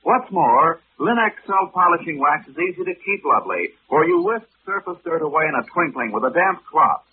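A man announces a vintage radio commercial in a slightly high voice. His scripted delivery is polished and emphatic. The recording is narrow, with faint crackle. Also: animated, moderate pace. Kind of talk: scripted 1940s radio advertisement. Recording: scratchy, narrow-band old radio recording.

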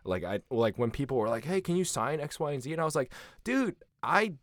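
A young American man, a guest in a casual interview, tells stories with lots of laughter and acts out other people's lines in a higher voice. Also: brisk, no room sound, clean studio sound. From United States. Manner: animated, laughing storyteller who acts out quotes in a higher voice.

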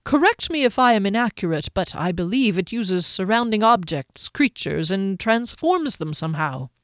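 A woman voicing a calm, inquisitive male mage with measured, formal wording in a fantasy storybook. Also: mid-range voice, dry recording, clean studio sound. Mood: intrigued.

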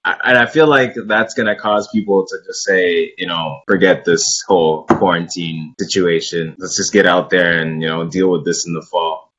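A low, husky-voiced man joking around on a podcast, telling stories with animation. The sound is a little dull. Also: steady tone, normal speed, few pauses, fairly bright tone. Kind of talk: casual podcast chat.

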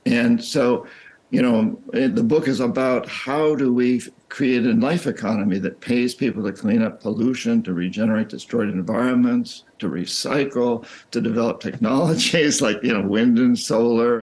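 An older American man speaking as an interview guest, reflective and halting, often repeating his words as he explains. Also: mid-range voice, darkish tone. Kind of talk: interview conversation.

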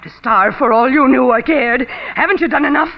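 An actress playing a possessive, jealous woman who clings to her sister and turns shrill and accusing. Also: brisk, highs cut off, slight background noise. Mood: pleading. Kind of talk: 1940s melodrama acting.

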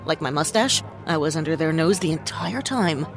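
An actress playing a cocky, quick-witted detective in a comic noir audio drama, theatrical, wry and self-satisfied. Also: female voice, low voice, lively intonation, faint music behind. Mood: wry, assured.

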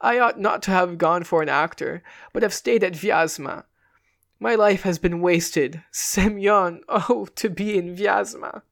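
A woman's voice: on the low side, creaky, pitched above the performer's own voice. A woman voicing a sick, delirious male actor who mutters weakly in a storybook reading. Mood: mournful. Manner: weak, slow mutter. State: gasping sick. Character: dying giant male actor, homesick and delirious. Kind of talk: dramatic audiobook reading.

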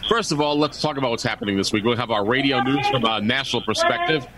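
A man's voice: mid-range voice, sing-song, quick pace, a loud crowd. A man co-hosting a radio show, chatting casually in a rambling way and often correcting himself. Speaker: co-host. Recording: faint background noise.

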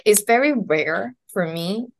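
A woman's voice: medium pitch, lively intonation, slow pace. A woman from Hong Kong chatting casually as an interview guest, with informal phrasing full of "like". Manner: informal, peppers talk with "like". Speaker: podcast guest.

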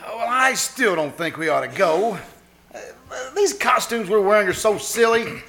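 A man playing a wisecracking, reluctant husband in a 1940s-style radio comedy, with animated comic timing. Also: sits high, normal speed. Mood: reluctant, incredulous. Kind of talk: 1940s radio comedy acting, live performance.

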